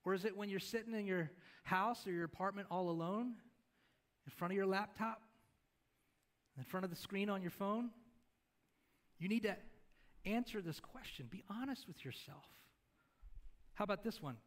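A man's voice: high voice, moderate pace, long pauses. A middle-aged male pastor preaching from a church stage into a headset mic. He talks in a conversational way, asking the congregation questions and gesturing as he moves around. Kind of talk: sermon.

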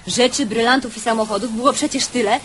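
A woman's voice: fairly high pitch, fairly even intonation, quick pace, a bit bright, a little hiss or hum, close to an everyday voice. An actress playing a solemn, ironic female chorus narrator in a radio tragifarce, with a declamatory delivery. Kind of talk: theatrical radio drama narration.

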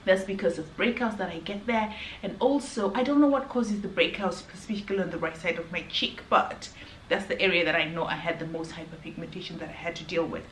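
A woman's voice: fairly low pitch, fairly quick. Chatty woman vlogger in an echoey room. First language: not English. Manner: chatty, earnest, restarts often. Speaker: beauty vlogger. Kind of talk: casual skincare review to camera. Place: hotel room, indoors. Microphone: camera at arm's length. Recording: echoey room, faint background hum.

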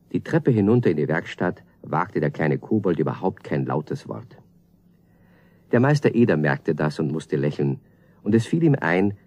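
A man's voice: deep voice, a little mellow, dry recording. A man narrating a scripted children's radio play as its storyteller.

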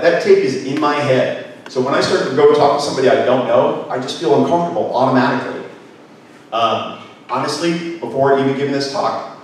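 A middle-aged man giving a lively workshop talk on a handheld mic in a conference room, asking the audience questions. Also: medium pitch. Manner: energetic, gestures, asks the room questions. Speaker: business speaker. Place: conference room with projector screen. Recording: echoey room from far off, faint noise.

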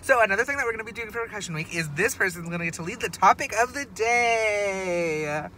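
A man's voice: high voice, animated, fast, bright, forceful tone, slight background noise. A teenage boy co-hosting a casual chat show from a parked car, playful and jokey. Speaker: co-host. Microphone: phone camera on dashboard.

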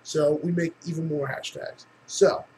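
A man's voice: a little high, steady tone, a little slow, warm tone, a little hiss or hum, rough recording. A man giving a casual comedic tutorial in a tongue-in-cheek, mock-instructional way with casual swearing. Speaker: tutorial presenter.

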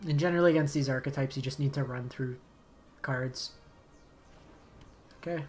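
A man, slightly raspy from a long day of talking, calmly commentating his card game as he plays, thinking aloud and muttering asides. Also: mid-range voice, normal speed, faint music behind. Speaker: game streamer. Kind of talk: casual gameplay commentary. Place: home streaming setup.

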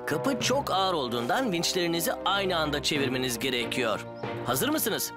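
A good-natured master builder in a children's cartoon. His voice is highish and very animated, patiently explaining and encouraging. Music sits behind him. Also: moderate pace. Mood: directing.

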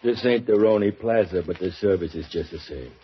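A sardonic hotel clerk with a husky, lowish voice who needles every guest with sly mockery. The old broadcast sound is dull and muffled. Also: male voice, fairly quick. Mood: mocking. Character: sly sarcastic male desk clerk of a cheap hotel.

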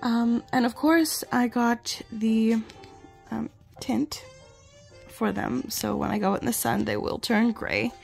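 A woman vlogger sick with the flu, her voice gravelly, chatting in a rambling, self-deprecating way while reviewing glasses at home. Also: on the high side, steady tone, slow pace, faint music behind. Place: home, indoors and outdoors in yard.

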